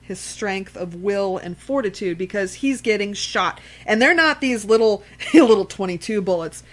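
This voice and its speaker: A woman's voice: mid-range voice, lively intonation, moderate pace. A woman co-hosting a casual horror film discussion, speaking emphatically, repeating herself for emphasis and swearing freely. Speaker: podcast co-host.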